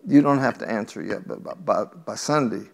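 A man's voice: medium pitch, moderate pace, vocal fry. A man preaching a sermon in a teasing, conversational way, reading scripture and talking directly to the congregation. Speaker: pastor.

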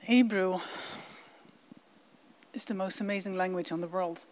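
A woman's voice: lowish voice, steady tone, measured pace, a little mellow. A woman from the Netherlands, giving an earnest, enthusiastic presentation with slides to a congregation. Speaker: guest presenter.

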